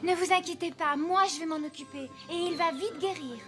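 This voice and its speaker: A woman's voice: very high-pitched, a little fast, music in the background. A woman voicing a plucky, caring, outspoken child in a cartoon, speaking boldly and earnestly. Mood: concerned, caring. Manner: bold, earnest, scolding adults without fear.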